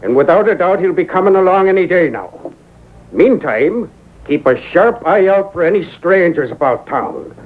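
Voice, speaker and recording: An actor playing an earnest, folksy trading-post keeper in an old radio western. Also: male voice, high-pitched, sing-song, moderate pace. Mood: rallying, urgent. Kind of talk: 1950s radio western acting. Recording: tinny radio broadcast taped off air.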